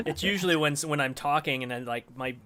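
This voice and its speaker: A man's voice: a little high, quick pace, very noisy. A man with a nasal voice, talking in an enthusiastic, rambling way.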